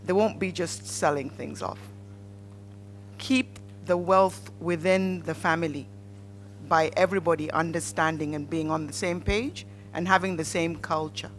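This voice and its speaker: Measured Ugandan woman panelist, faint hum. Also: lowish voice, fairly even intonation, low-quality recording. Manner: measured, instructive. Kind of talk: advice talk at a conference panel.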